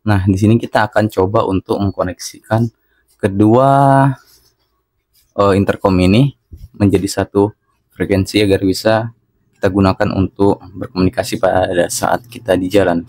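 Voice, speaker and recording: A man's voice: low voice, little pitch movement, normal speed, long pauses, darkish tone, no echo. A man narrating a casual hands-on product tutorial, talking instructively to his viewers. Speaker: product reviewer.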